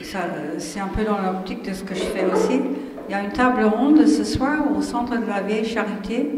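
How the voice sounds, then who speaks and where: A woman's voice: medium pitch, a little mellow, slight background noise. Older woman with an English Canadian accent. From English-speaking Canada. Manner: chatty, digressive, self-deprecating. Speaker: lecturer. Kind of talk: public lecture. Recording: echoey hall from a distance.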